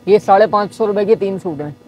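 A man, a shop owner calmly showing baby clothes in his market shop and quoting prices item by item. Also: high-pitched, quick pace, low-quality recording. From Pakistan. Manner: patient, listing items one by one. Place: busy market shop indoors.

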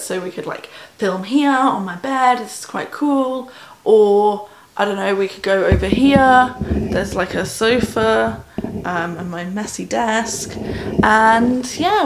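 A woman's voice: on the high side, vocal fry, background noise. Chatty English woman in an echoey room. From England. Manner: chatty and self-deprecating, lots of ums and likes. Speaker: vlogger. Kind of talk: casual vlog chat to camera. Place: small bedroom indoors. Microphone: phone on tripod. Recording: roomy bedroom echo.

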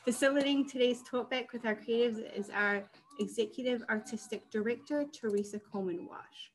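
A woman's voice: fairly high pitch, steady tone, fairly quick, low-quality recording. A woman announcer reading a scripted introduction. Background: music.

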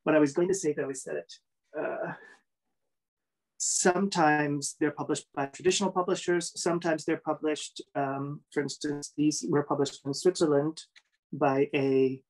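Quick-talking man, flat higher voice. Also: rough recording. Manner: quick, chatty, animated about the books he shows. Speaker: author and self-translator. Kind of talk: online panel talk. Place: home room with bookshelves. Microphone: laptop webcam video call.

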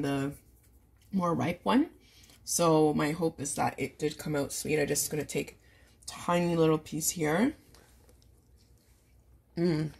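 Female home-cooking host, low-pitched, casual and explanatory. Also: vocal fry, a little mellow, husky.

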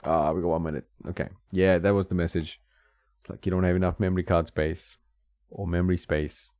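A man's voice: low voice, level tone, fairly quick, a little mellow, dry recording. A man casually commenting while playing an old fighting game at home, chatting and laughing at the screen. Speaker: gaming channel host. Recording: slightly dull, dry sound with game music behind.

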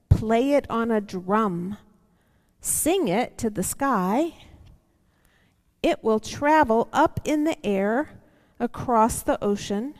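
Slow-paced woman reading to children, echoing hall. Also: fairly high pitch, creaky. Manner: expressive, lingering on words, with conversational asides. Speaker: church storyteller for children. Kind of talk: reading a picture book aloud to children. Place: large church sanctuary, seated indoors. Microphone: headset microphone. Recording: church hall reverb.

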